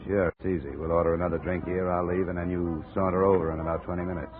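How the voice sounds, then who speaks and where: An actor playing a cool, persistent insurance investigator in a 1950s radio drama, clipped and matter-of-fact. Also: male voice, deep voice, little pitch movement, fast talker, natural voice. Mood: brisk, insistent. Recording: tinny, noisy old radio recording.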